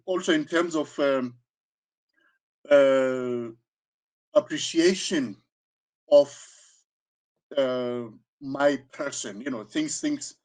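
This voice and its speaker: A sixty-year-old man with a southern African accent, reflecting in conversation as an interview guest, halting and often repeating himself with frequent "you know". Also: medium pitch. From Zambia, born in South Africa. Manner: halting, searching delivery with frequent repetitions and 'you know'. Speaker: interview guest, karate teacher.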